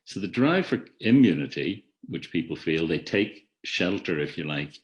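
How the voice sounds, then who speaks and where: Very deep-voiced man giving a measured academic talk. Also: big pitch swings, lo-fi sound. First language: not an Irish speaker. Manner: measured, reflective, with frequent restarts and trailing off. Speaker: webinar speaker. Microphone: video call.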